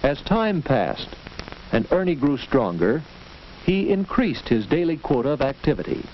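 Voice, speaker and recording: A dull, faintly noisy and clicking vintage film soundtrack carries an American man's voice. He narrates in the scripted style of a 1940s school film, instructive and measured. His mid-pitched voice rises and falls in a lively way as he tells the story.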